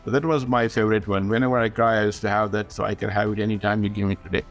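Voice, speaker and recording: A man from India, an interview guest chatting in a quick, easygoing way. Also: on the low side, little pitch movement, soft background music.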